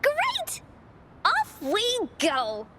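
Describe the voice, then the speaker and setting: A very high-pitched, lively little girl from a video game. She tells adventure tales with encouragement and theatrical pauses, her intonation rising and falling. There is a faint rumbling noise behind her. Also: heavily acted. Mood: eager. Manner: theatrical, deliberate storytelling with dramatic pauses. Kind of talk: video game voice acting, dramatic storytelling.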